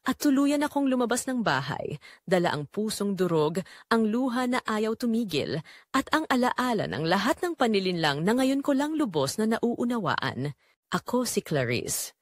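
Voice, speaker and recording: Female Filipino storyteller for audio drama, theatrical first-person read, highly animated pitch, very dry recording. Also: normal speed, no echo.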